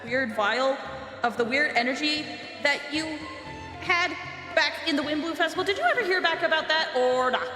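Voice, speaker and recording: Animated woman game streamer. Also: sits high, moderate pace. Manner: animated, exclamatory, muses aloud. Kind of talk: casual live game commentary. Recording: faint game music behind.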